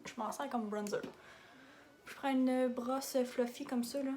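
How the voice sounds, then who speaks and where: A woman's voice: high-pitched, fairly even intonation, measured pace, warm tone. A young woman with a Québécois French accent talking casually through her everyday makeup routine, rambling into asides and mixing in English beauty terms. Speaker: makeup vlogger.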